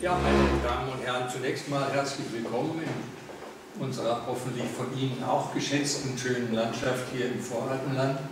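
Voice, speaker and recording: A man's voice: mid-range voice, fairly even intonation, normal speed. An older man with a Berlin accent giving a public lecture in a large room, talking conversationally with frequent fillers and asides to his listeners. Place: large indoor meeting room. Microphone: far camera, no mic. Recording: echoey room, distant camera, noisy.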